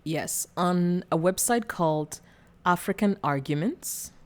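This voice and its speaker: Low-pitched lady from rwanda doing a politics video, lots of ums and little giggles. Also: expressive, takes it slowly, a little mellow. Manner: hesitant, many fillers, giggles. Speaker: channel host. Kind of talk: casual political commentary video.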